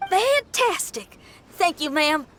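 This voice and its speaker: A woman's voice: extremely high pitch, sing-song, takes it slowly, a bit bright, slight background noise, full character voice. An actress voicing a brash, upbeat young woman musician and adventurer in a video game, talking in a punchy, slangy, cool manner. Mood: fired up. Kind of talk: video game character dialogue.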